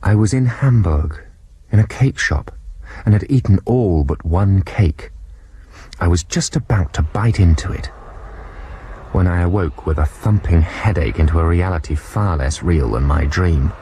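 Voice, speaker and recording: A man's voice: low voice, a little slow, mellow, slightly rough. An actor playing a timid, earnest young German student who narrates his adventure in a German accent, in a radio drama. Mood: dreamy. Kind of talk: dramatised first-person narration. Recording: 1990s radio broadcast with noisy effects behind.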